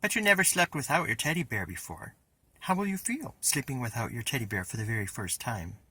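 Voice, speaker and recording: A man's voice: medium pitch, big pitch swings, fairly quick, sharp tone, slight background noise. A man voicing a teasing, needling sister in a children's storybook. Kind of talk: children's picture-book reading.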